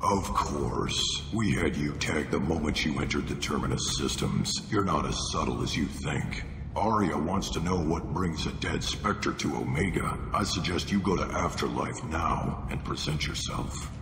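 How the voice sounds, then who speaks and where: A gruff alien enforcer with an extremely deep, gravelly male voice. He is curt and threatening, a video game heavy heard in an echoing space with background noise. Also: moderate pace. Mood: menacing. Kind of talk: video game dialogue acting. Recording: reverberant space, clear ambient noise.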